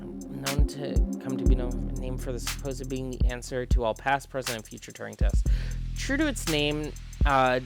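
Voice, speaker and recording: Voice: medium pitch, animated, normal speed, over loud music. A game streamer giving casual, emphatic commentary and reading game text aloud on a livestream. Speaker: streamer hosting a visual novel playthrough.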